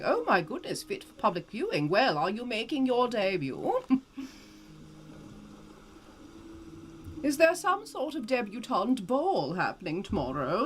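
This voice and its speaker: A woman's voice: on the high side, voice pushed up. Woman playing a posh, swooping old-fashioned Southern belle. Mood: amused, teasing. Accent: old-fashioned American Southern. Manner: posh, theatrical, drawling, effusive. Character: genteel ageless Southern woman over 150, playfully flirtatious. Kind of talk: in-character live-stream chat and storytelling.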